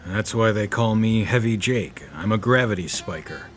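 An actor playing a world-weary, tough private detective in a noir audio drama, speaking in a dry, wry, unhurried way. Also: male voice, on the low side, a bit bright, soft background music, natural voice. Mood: businesslike.

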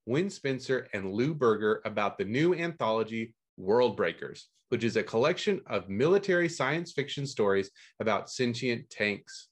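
A man sitting in as host of a book podcast, reading scripted news and blurbs with dry, mock-confused humor. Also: medium pitch.

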